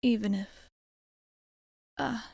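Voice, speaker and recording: Woman acting as female vampire bartender, ASMR roleplay, mellow soft voice, often whispery, genteel wording, close dry sound. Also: a little high, fairly even intonation, drawn-out words, gravelly, husky, no room sound, full character voice. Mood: pensive. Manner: formal, genteel phrasing. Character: long-lived female vampire bartender, courteous and caring.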